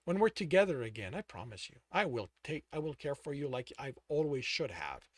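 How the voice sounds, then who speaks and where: A man voicing a despairing young man who begs softly and with a broken voice. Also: medium pitch, sing-song, brisk, no echo. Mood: pleading.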